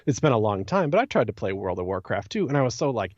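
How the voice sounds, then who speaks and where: A man's voice: on the low side, sing-song, fast talker, darkish tone, no echo, dull audio. A man talking casually on a podcast in an informal discussion. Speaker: podcast co-host or guest. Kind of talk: casual chat.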